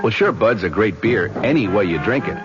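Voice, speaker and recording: A man's voice: on the low side, animated, fairly quick. A man reading a scripted radio commercial as an announcer. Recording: muffled old radio broadcast, loud music. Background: loud music.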